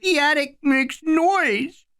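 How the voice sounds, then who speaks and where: A woman's voice: slow, fairly bright tone, heavily acted. A woman voicing a small, scared young boy who whines and sounds close to tears. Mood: whiny. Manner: whiny, tearful, high.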